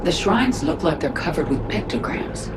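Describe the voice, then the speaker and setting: A woman's voice: low-pitched, sing-song, normal speed, very noisy. An actress voicing a confident, sardonic alien queen in a video game, with a dry, casual delivery. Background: loud game music.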